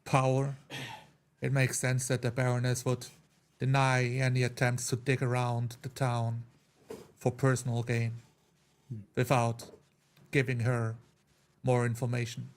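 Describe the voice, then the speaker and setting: Male roleplay player, flat even delivery, faint background noise on the mic. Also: medium pitch, measured pace, long pauses. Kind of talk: in-character roleplay, narrating own actions.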